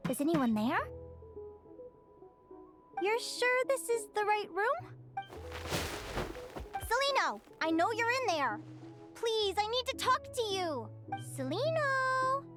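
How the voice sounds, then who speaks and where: A woman playing an earnest, stubborn young fae in a video game, expressive and insistent. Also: extremely high pitch, takes it slowly, pauses often, over music, exaggerated voice. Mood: urgent, pleading.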